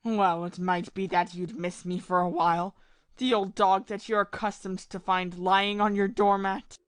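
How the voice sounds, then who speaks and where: A woman's voice: medium pitch, fairly even intonation, a little slow, dry recording, clean studio sound, lightly acted. A woman acting a lovesick, forlorn man in an audio play, pleading wistfully with his sweetheart. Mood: wistful, reproachful.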